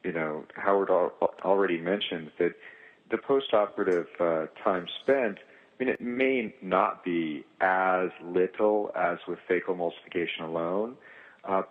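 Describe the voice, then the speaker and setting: A man speaking as a surgeon on a conference call, explaining his clinical experience in halting phrases with pauses. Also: low voice, phone-quality sound. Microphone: telephone. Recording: thin band-limited telephone conference line.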